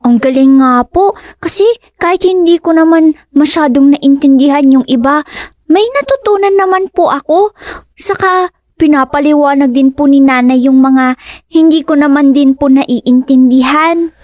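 Lady doing a polite little daughter, high pitched, dry studio sound. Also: normal speed, darkish tone, no room sound, natural voice. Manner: polite, respectful. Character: polite young daughter of a fisherman. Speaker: voice actor. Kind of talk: radio drama acting.

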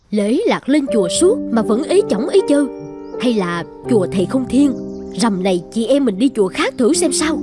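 A woman's voice: a little high, warm tone. A woman playing a skeptical, gossiping village woman in a cartoon, chatty and grumbling. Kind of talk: lively cartoon voice acting.

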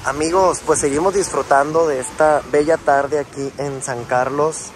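A man's voice: fairly high pitch, normal speed. A young man chatting casually to his viewers as he films himself at a beach resort. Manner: chatty, relaxed, addresses viewers as friends. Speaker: travel vlogger. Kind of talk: casual travel vlog narration. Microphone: phone camera at arm's length. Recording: wind and sea noise outdoors.

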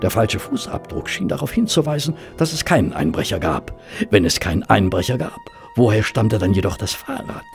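A thoughtful detective's companion telling the story, radio drama, dramatic slow delivery, really swoopy pitch, bit of fry. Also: male voice, big pitch swings, over music. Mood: reflective, wry. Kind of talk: German radio play acting and scripted narration.

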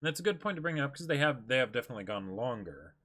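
A young man co-hosting a livestream in casual discussion, thinking aloud in halting, broken phrases. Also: medium pitch, expressive, bright, forceful tone. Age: young adult. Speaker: co-host. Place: small indoor room, seated.

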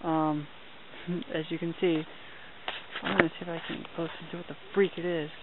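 A man's voice: a little high, brisk. Young man narrating a night hike. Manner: excited, chatty, mild minced oaths. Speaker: hiking vlogger. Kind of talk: hushed running commentary while filming. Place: outdoors at night on a trail. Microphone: handheld camera mic. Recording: tinny lo-fi camera audio with hiss.

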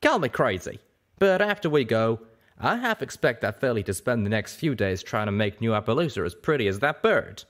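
A man's voice: mid-range voice, sing-song, normal speed, fairly bright tone, pitched above the performer's own voice. A man voicing a brash, cocky male pegasus with folksy slang in an audiobook story. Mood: wry.

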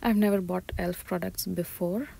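A woman shopping vlogger giving soft, breathy, often half-whispered ASMR-style commentary as she describes items one by one inside a store. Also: lowish voice, fairly even intonation, normal speed. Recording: store ambience noise behind soft voice.

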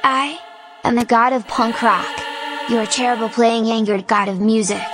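Music blasting, woman acting as angry punk rock goddess, highish voice, theatrical threats then petulant grumbling, comedy audio drama. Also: steady tone, normal speed, lightly acted. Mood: wrathful. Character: wrathful, petulant female god of punk rock. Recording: music under the voice.

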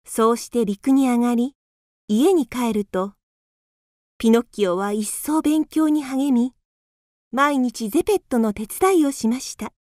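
A woman's voice: on the high side, a little slow, a little mellow, dry recording, studio quality. A woman narrator reading scripted storybook narration for a radio drama.

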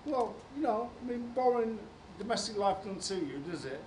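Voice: mid-range voice, expressive, moderate pace, darkish tone, close to an everyday voice. A British amateur performer playing a scornful, goading voice of bad conscience in a radio play. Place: large conference room before an audience. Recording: echoey, slightly noisy hall.

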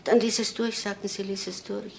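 A woman's voice: medium pitch, little pitch movement, a little fast, gravelly, slight background noise, lightly acted. An actress playing a wistful, stubborn old mother in a crime drama, rambling and repeating herself in short phrases. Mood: wistful, cheerful. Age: older.

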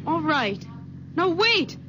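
High-pitched frightened young woman. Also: sing-song, slow pace, noisy background, exaggerated voice. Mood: anxious, hesitant. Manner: anxious, hesitant, dramatic. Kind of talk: old-time radio drama acting. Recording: old radio drama with music behind.

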